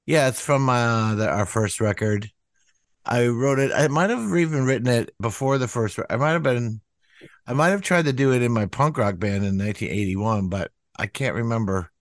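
A man musician talking casually in an interview, rambling with lots of "you know" fillers and acting out quotes in a higher voice. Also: normal speed, no room sound, studio quality. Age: older. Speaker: musician guest.

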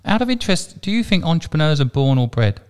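A man in his forties hosting an interview, asking quick, curious questions. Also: a little high, sing-song, clean tone. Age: 40s. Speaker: podcast host. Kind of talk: conversational interview.

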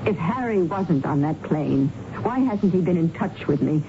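A distressed widow in an old radio mystery. Her lowish voice is halting, guarded and pleading, defensive under strain. The broadcast sounds dull, with clear noise. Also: animated. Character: distressed, defensive bereaved wife. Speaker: radio drama actor. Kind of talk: 1970s radio drama acting.

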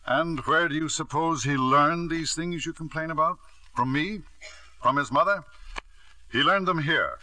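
Cold self-made millionaire, sardonic, crisp, bright tone, old radio play. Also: male voice, fairly high pitch, measured pace, no room sound. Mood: cool menace. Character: ruthless self-made millionaire father, knighted. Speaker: narrator and lead character. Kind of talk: 1940s radio acting. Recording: dry old radio broadcast.

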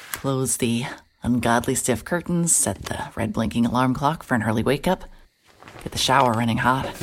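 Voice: medium pitch, a little mellow, a little hiss or hum, natural voice. An actor playing a weary, sardonic private investigator in an audio drama, narrating in a dry noir manner.

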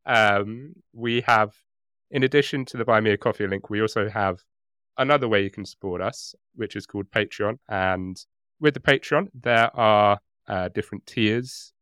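A man's voice: on the low side, moderate pace, fairly bright tone, smooth, dry recording, clean studio sound. A man co-hosting a podcast, chatting casually in a hesitant, rambling, jokey way. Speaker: podcast co-host. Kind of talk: casual chat.

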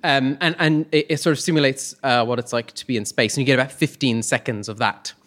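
A man telling a humorous personal anecdote live on stage, in a conversational, hesitant manner with comic pauses. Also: mid-range voice, a bit bright. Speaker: storyteller on stage.

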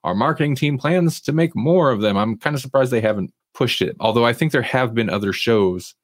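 A man's voice: medium pitch, brisk, no room sound, studio quality. A man hosting a podcast, casually chatting through entertainment news and sometimes reading aloud, with wry, self-deprecating humor. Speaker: podcast host.

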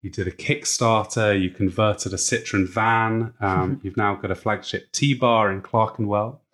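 A man's voice: on the low side, fairly even intonation, measured pace, a bit bright. A man hosting a podcast interview, chatting enthusiastically with plenty of fillers. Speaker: podcast host. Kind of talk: conversational interview.

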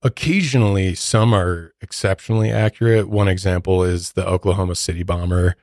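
Podcast host, American man, low register, conversational true crime storytelling, dry studio sound. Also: steady tone, brisk, a little mellow, no room sound. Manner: conversational, informal asides.

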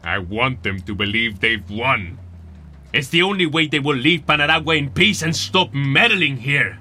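A man playing an earnest, scheming Latin American rebel leader, speaking emphatically and deliberately in an audio drama. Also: mid-range voice, lively intonation, bright, forceful tone. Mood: resolute, scheming.

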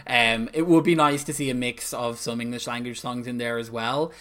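A man's voice: mid-range voice, steady tone, moderate pace, bright, forceful tone. A man co-hosting a podcast in casual chat, talking emphatically with many fillers. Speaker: podcast co-host.

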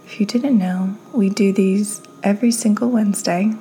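A woman hosting and guiding a meditation in a calm, gentle, soothing manner, her soft delivery often slipping toward a breathy near-whisper. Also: medium pitch, moderate pace, a little hiss or hum, rough recording. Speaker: podcast host, meditation guide. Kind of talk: guided meditation, slow and soothing.

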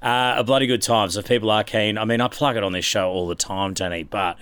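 A man's voice: on the low side, fairly even intonation, fast, a bit bright, clean tone, no room sound. An Australian man hosting a podcast in a casual, bantering sports chat style. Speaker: podcast host.

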